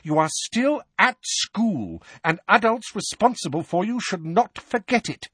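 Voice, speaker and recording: Man voicing a high-pitched, scolding protective mother. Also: wildly swooping pitch, moderate pace, breathy edge, no echo, full character voice. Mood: angry, rising. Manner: emphatic, indignant, scolding. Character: fussy, protective middle-aged mother, sharp and scolding. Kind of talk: audiobook character voice.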